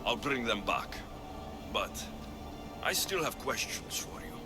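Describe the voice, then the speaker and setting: Intense young warrior, swooping pitch. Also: male voice, lively intonation, slow, music in the background. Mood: resolved. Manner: intense, questioning. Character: proud, impatient young warrior, wary of destiny. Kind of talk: video game cutscene acting.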